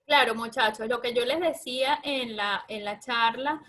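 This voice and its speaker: Venezuelan andes lady doctor, pretty high voice, doing informal webinar q&a, chatty explaining. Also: normal speed, fairly bright tone. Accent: Venezuelan Andean. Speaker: guest lecturer, obstetrics doctor. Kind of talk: informal webinar question-and-answer.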